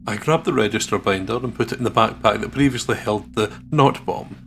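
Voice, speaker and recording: A gravelly, creaky-voiced man reads a visual novel aloud as a let's player. He is playful and casual, and the recording carries a faint noise. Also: lowish voice, a little fast, fairly bright tone. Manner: playful, sweary.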